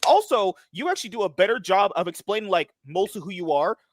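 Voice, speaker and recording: A man hosting a podcast, talking in a fast, excitable, profane and rambling way as he chats with a guest. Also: high-pitched, a little hiss or hum. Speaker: podcast host. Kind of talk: casual interview chat.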